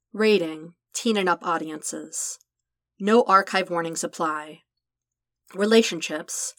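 Low-voiced woman reading a story. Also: a bit bright, no room sound. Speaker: podfic reader. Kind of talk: scripted narration of fan fiction.

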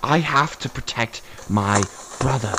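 A woman's voice: very deep voice, unhurried. A woman playing a loyal, frantic teenage little brother in a fantasy audio drama, urgent and stammering. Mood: desperate protectiveness. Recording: very noisy, clicky recording.

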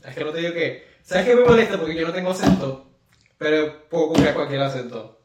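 A man's voice: fairly high pitch, brisk, recorded from a distance. Rambling Venezuelan man, off-mic echo. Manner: laughing, rambling with restarts. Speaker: podcast co-host. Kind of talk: casual chat. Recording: echoey room, off-mic, faint noise.

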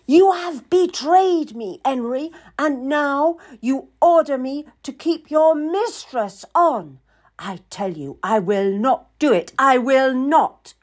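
A proud, wronged queen, played by a woman narrating a historical story, bitter and imperious. Her voice sits high and swings emphatically, with slow, hard-stressed words. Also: very animated, darkish tone, heavily acted. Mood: furious, betrayed.

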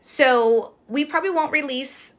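A raspy-voiced young woman co-hosting a podcast, telling stories in animated casual chat and swearing freely. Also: high-pitched, unhurried. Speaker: podcast co-host.

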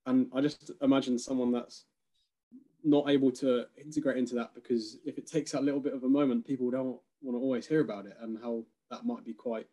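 A man in a casual conversation, thinking aloud with frequent hesitations and soft laughs. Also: medium pitch, flat delivery, fairly quick.

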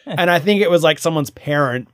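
A young man co-hosting a card game podcast, chatty with quick asides and frequent laughter. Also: fairly high pitch, dry recording, clean studio sound. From Australia. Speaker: podcast co-host. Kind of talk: casual chat.

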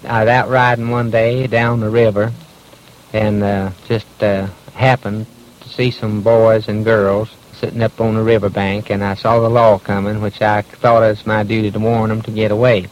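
A man's voice: lowish voice, level tone, dull audio. Folksy East Tennessee man, noisy old recording. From Tennessee, United States. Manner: halting, folksy storytelling. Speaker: eyewitness. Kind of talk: unscripted personal recollection.

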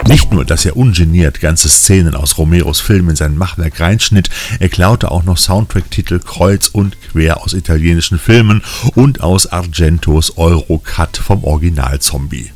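A man's voice: low voice, normal speed, hardly any pauses, darkish tone. A man hosting a film news podcast, reading scripted previews of new releases. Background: faint music.

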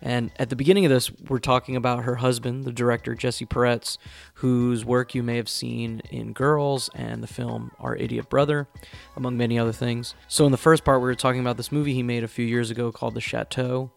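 A man's voice: mid-range voice, level tone, a little fast, gravelly, few pauses, faint music behind. A man hosting a podcast, chatting casually and enthusiastically with his guest, with many eager agreeing interjections. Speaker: podcast host.

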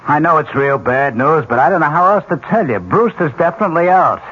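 A man's voice: a little high, animated, a little fast, a bit bright. A male actor playing an anxious, defensive advertising agency partner in a 1970s radio drama, explaining earnestly. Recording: dull, faintly noisy old radio recording.

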